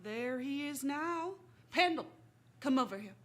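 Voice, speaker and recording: Lady as a blunt old west saloon keeper, kinda high voice, folksy, faint noise. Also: lively intonation, measured pace, fairly bright tone. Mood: brisk. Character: brusque female frontier saloon keeper. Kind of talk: Western radio drama acting.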